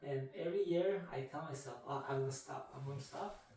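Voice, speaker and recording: A man in his twenties from Canada, whose first language is Vietnamese, answering interview questions about running in a measured, reflective way. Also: medium pitch, a little mellow, slight background noise. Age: 20s. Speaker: guest, marathon runner. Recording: echoey room, mic from a distance.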